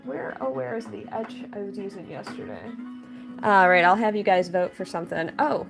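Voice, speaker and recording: A woman's voice: mid-range voice, moderate pace, vocal fry, music in the background. A young woman livestreaming her drawing, chatting casually and upbeat, thanking viewers and reading their messages aloud. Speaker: livestreaming artist.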